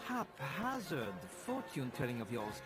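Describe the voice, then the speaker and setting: A man's voice: medium pitch, wildly swooping pitch, normal speed, loud music behind the voice. A man playing a timid, polite, exasperated pub landlord in a video game, hesitant and deferential. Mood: apologetic, exasperated. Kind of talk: video game character acting.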